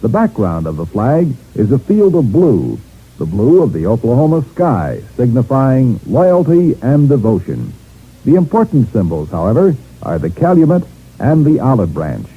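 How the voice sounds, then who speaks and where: A man's voice: medium pitch, big pitch swings, normal speed, slightly rough. A man reading a formal scripted radio announcement. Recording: muffled phone-like vintage radio recording.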